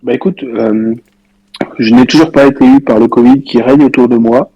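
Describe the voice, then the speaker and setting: A man's voice: medium pitch, steady tone, moderate pace, dull audio. A man with a cold, joking drily as a podcast co-host. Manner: dry, joking. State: has a cold.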